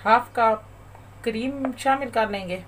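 A woman hosting a cooking channel, calmly talking through a recipe step by step in a voice-over. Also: fairly high pitch, measured pace, slight background noise. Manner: calm, methodical instructions. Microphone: voice-over over overhead shots of a bowl.

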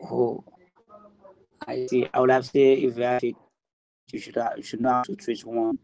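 A man's voice: mid-range voice, little pitch movement, moderate pace, vocal fry, a little mellow. A man on a video call, his voice muffled and distant through the phone. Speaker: romance scammer on a call.

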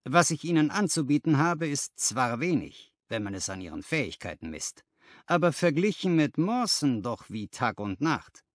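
A man voicing an energetic, flattering financial agent in an audiobook, speaking in an emphatic, self-assured way. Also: medium pitch, normal speed, no echo, clean studio sound, close to an everyday voice. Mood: scornful, persuasive. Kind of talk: dramatized audiobook dialogue.

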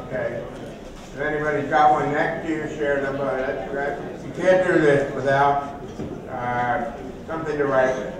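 A man in his sixties giving a conversational keynote speech in an informal, halting way. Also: mid-range voice, fairly even intonation, a crowd in the background. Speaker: keynote speaker. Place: large hotel conference ballroom, at podium. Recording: echoey hall from far off, noisy.

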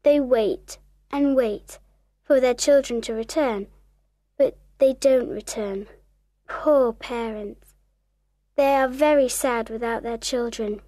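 Woman doing a little motherly girl for a storybook, high voice, slow, earnest and tender. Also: warm tone, no echo. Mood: sorrowful storytelling. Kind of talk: storybook character acting.

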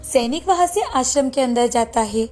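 A woman's voice: sits high, animated, normal speed, a little mellow. A woman narrator reading a scripted folktale. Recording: music bed behind the voice.

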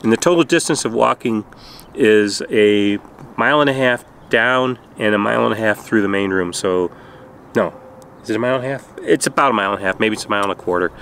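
Low-voiced middle-aged man chatting outdoors. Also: quick pace, slight background noise. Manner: relaxed, rambling, self-correcting. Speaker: travel vlog host. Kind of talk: casual chat. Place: outdoors beside an RV on a sunny day.

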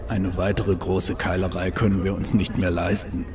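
A man's voice: deep voice, flat delivery, normal speed, noisy background, lightly acted. An actor playing a big, loyal male troll guard in a fantasy audio drama, with a weighty delivery. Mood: calm, steady. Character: big deep-voiced male troll guard, loyal husband, plain-spoken.

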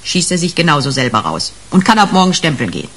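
An actress playing a brash, shrewd police detective in a radio crime drama, slangy and emphatic. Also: female voice, deep voice, sing-song, fast, fairly bright tone, husky, exaggerated voice. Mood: emphatic, sardonic.

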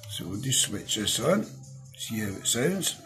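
A middle-aged man with a Scottish accent talks through a hands-on review in a casual, down-to-earth way. His voice sits on the low side. Soft music plays faintly behind him. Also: big pitch swings, unhurried, vocal fry. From Scotland. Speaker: product reviewer. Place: small indoor room at a desk.

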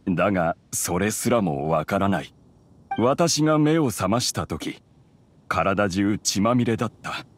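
A man playing a gentle, solemn father and hunter, speaking gravely and tenderly in anime-style game dialogue. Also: fairly low pitch, animated, measured pace.

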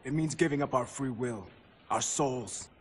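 An actor playing a principled, troubled ninja warrior in a video game, earnest and dissenting. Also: male voice, medium pitch, a little slow, slight background noise, close to an everyday voice. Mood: earnest, uneasy. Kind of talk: dramatic video game acting.

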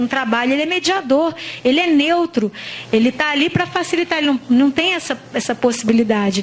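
A woman in her forties with a Brazilian accent, lecturing in a large auditorium and explaining patiently with frequent tag questions. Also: sits high. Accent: Brazilian Portuguese. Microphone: handheld microphone.

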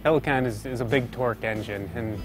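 A man's voice: medium pitch, brisk. A male engineer talking earnestly about racing development, with a few restarts. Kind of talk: explaining on camera. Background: music bed.

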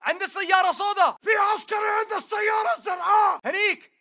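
Aggressive Levantine militant for a shooter game: urgent shouted callouts, very high and piercing. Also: male voice, fairly even intonation, fast talker, some chatter behind, heavily acted. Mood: urgent, alert. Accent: Levantine Arabic. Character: aggressive male militant fighter in combat. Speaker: enemy soldier voice. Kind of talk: video game battle chatter, shouted.

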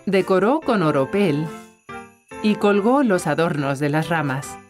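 A woman's voice: on the low side, expressive, unhurried, music in the background. A woman narrator reading a scripted children's story.

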